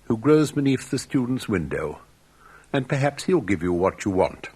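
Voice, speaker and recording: A man voicing a sorrowful old rose tree in a storybook, speaking with slow, solemn, theatrical delivery. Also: medium pitch, big pitch swings, darkish tone, breathy edge.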